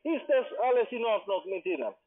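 A man with a foreign accent in non-native German, making a halting, impatient, informal sales call as a call center salesman. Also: high-pitched, big pitch swings. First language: not German. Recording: muffled, tinny phone line.

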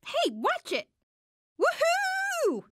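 Shy but upbeat teen superhero girl for a game, short quips, super swingy pitch, slow paced. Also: huge pitch swings, fairly bright tone, no echo, studio quality, heavily acted. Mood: upbeat, casual. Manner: short exclamations and casual quips. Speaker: video game voice actor. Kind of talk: short video game voice lines.

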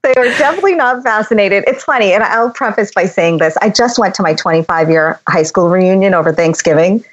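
A woman's voice: medium pitch, lively intonation, brisk, highs cut off. A woman in her forties from Boston, chatting candidly as a podcast guest, laughing often and acting out bits of dialogue. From Boston, USA. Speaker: interview guest, interior designer. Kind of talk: casual candid chat.

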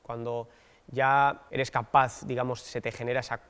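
A man in his thirties, a political candidate, giving thoughtful, hesitant interview answers with many fillers and restarts on a dark studio set. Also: mid-range voice, little pitch movement, normal speed, clear, resonant tone, long reverb tail. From Basque Country, Spain.

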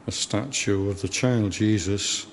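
A man with a Northern English accent, giving a slow, gentle guided tour inside a large church, recorded on a handheld camera. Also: on the low side. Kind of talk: unscripted guided tour voice-over. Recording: reverberant church hall, faint background noise.

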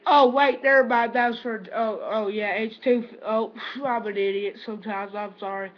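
A teenage male prank caller talking casually in a halting, repetitive, confused manner. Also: high voice, steady tone. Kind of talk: prank phone call, casual improvised talk. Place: small room at home, indoors. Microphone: webcam or laptop microphone. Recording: dull, noisy webcam microphone.

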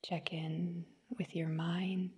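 A woman guiding a meditation in a soft, breathy, whispery voice, gentle and soothing, in short phrases with long pauses. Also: fairly low pitch, flat delivery. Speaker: meditation guide. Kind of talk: guided meditation narration.

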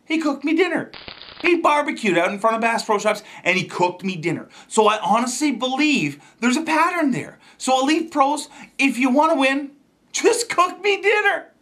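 Animated, bright-voiced man hosting comedic fishing news. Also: very high-pitched. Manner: jokey, exaggerated delivery with emphatic pauses and asides. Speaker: fishing show host. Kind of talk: comedic scripted news commentary to camera.

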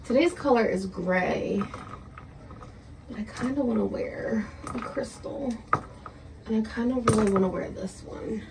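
A woman's voice: on the high side, measured pace, creaky, long pauses, noisy background. A woman in her thirties chatting casually in a rambling, sweary personal vlog recorded in a room at home. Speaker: vlogger. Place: small indoor room at home.

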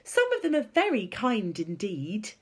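Woman acting a fiery young girl, high, swooping. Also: wildly swooping pitch, a little slow, breathy edge, heavily acted. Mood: indignant. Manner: emphatic, exclamatory. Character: young girl, impassioned, determined, indignant storybook heroine.

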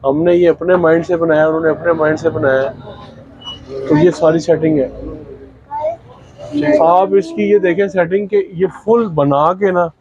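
A young man running a bridal dress shop, showing outfits and pitching prices in a chatty, teasing salesman's way. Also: steady tone, unhurried, long pauses, dull audio, slight background noise. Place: busy indoor dress shop.

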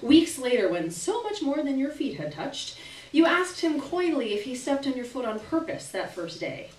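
A young woman performs a short story in a fairly high, very lively voice, phrasing it deliberately and theatrically. She is heard from a distance in an echoing small room. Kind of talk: expressive literary reading to a live audience. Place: small indoor gallery reading event. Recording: roomy live venue, distant camera microphone.

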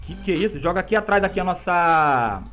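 Man, 30s, raised pitch, congested from a cold, slangy excitable gameplay commentary, faint music behind. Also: a little fast. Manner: excitable slangy banter, frequent laughs. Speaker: gaming YouTuber. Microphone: webcam framing, headphones around neck.

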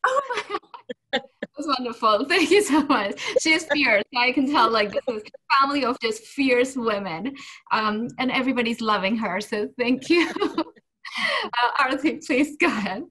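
A woman moderating a panel on a video call from a room at home, warm, giggly and enthusiastic. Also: a little high, lively intonation, normal speed. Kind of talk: hosting a panel.

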